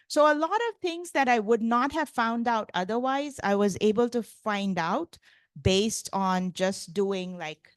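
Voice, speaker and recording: A woman's voice: on the high side, no room sound. Chatty woman lecturer, dry close sound. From India. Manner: chatty, many fillers like "like" and "you know". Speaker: professor lecturing. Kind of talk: casual conference lecture.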